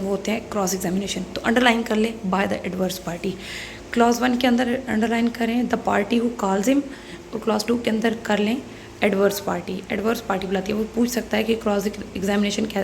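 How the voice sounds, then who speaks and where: A woman's voice: medium pitch, gravelly, darkish tone, slight background noise. A woman with a Pakistani accent lecturing on law in an instructive way, reading out clauses and then explaining and repeating the key points.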